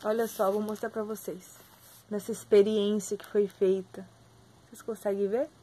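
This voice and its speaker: A young adult woman with a Brazilian Portuguese accent teaches an online class from a textbook. She sounds hoarse and husky, keeps clearing her throat, fills her talk with hesitations and speaks straight to her students. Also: mid-range voice, little pitch movement, moderate pace, dark tone. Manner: filler-heavy, addresses students directly. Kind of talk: online lecture reading from a textbook.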